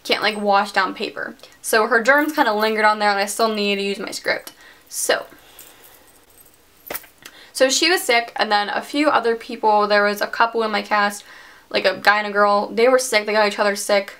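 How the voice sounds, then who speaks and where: A woman's voice: mid-range voice, steady tone, normal speed, a bit bright, clear, resonant tone. A teenage girl telling a personal story in a casual vlog, chatty and filling her sentences with "like". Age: teens. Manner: chatty, heavy use of "like". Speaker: vlogger.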